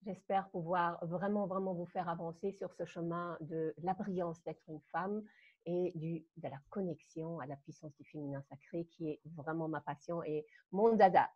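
German-accented woman, husky and low. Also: moderate pace. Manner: animated, laughs between phrases, frequent hesitations. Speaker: guest dance teacher. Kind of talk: personal storytelling on a live call. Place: home room. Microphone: webcam on a video call. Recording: muffled video call audio.

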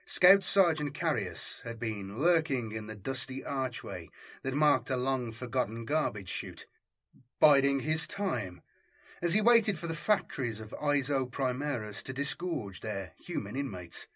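A man narrating a scripted story as an audiobook, in a measured, solemn and dramatic way. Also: medium pitch, animated, a bit bright.

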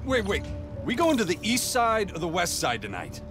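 A man's voice: sits high, huge pitch swings, normal speed, music in the background. An actor playing a bumbling, defensive male mob henchman from New Jersey in a video game, full of sheepish excuses.

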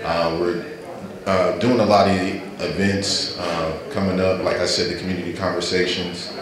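A man in his thirties with a very deep voice introduces himself into a handheld mic, hesitating often with fillers and throat clearing. His voice booms around a large, strongly echoing hall while a crowd murmurs behind him. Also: fairly even intonation, normal speed. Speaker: community relations coordinator. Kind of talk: public introduction at a community meeting. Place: large indoor event hall.

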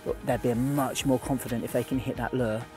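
A man guiding a beginner through fishing by a lake, giving quick, repeated instructions. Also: mid-range voice, steady tone, a little mellow, soft background music. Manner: urgent, repetitive instructions. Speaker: fishing guide. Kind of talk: on-the-spot coaching. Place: outdoors by a lake.

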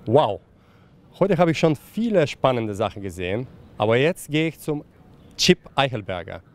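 Male reporter, forties, native German, animated intonation at medium pitch, piece to camera. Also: normal speed. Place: outdoors at a hotel. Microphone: handheld mic with windscreen.

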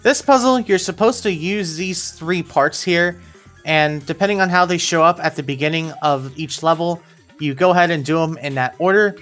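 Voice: medium pitch, normal speed, a bit bright. A narrator with a mid-range voice guides players through a game level. The delivery is instructional and step by step, like a scripted walkthrough. Faint music plays underneath. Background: background music.

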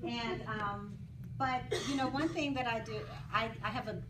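A woman moderating a panel discussion in a conference room, animated and quick to laugh. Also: on the high side. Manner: animated, laughs readily, says she has a big voice. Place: conference room with many seated people. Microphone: far camera. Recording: echoey room, camera far off, noisy.

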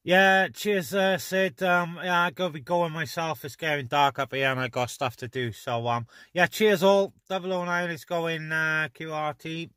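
A man's voice: a little high, steady tone, normal speed, hardly any pauses, sharp tone, clean tone, no echo, studio quality. A man chatting casually over CB radio from inside a car, as one of the operators. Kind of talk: casual two-way radio chat. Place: inside a parked car on open moorland.

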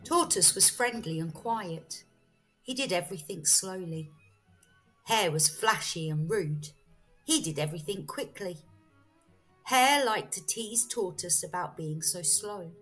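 A woman storyteller reading a children's bedtime story aloud in an expressive, deliberate way. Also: fairly low pitch, husky. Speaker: storyteller, teacher. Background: faint music.

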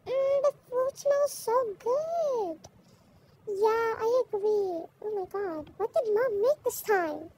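A woman's voice: extremely high pitch, animated, slow pace, mellow, voice pushed up, full character voice. A woman voicing a whiny, playful small child in a family role-play story. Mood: pleased.

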